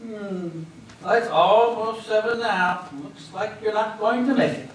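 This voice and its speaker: A man's voice: high-pitched, takes it slowly. A man on stage playing a curt, dismissive and unhelpful border officer in an amateur readers theater. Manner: dismissive, dry. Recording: echoey hall from far off, faint noise.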